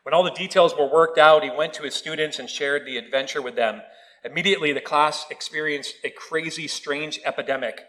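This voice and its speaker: A man's voice: on the high side, brisk, poor audio. A man preaching a sermon in a church, telling stories with touches of humor. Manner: storytelling with humor, animated quoted voices.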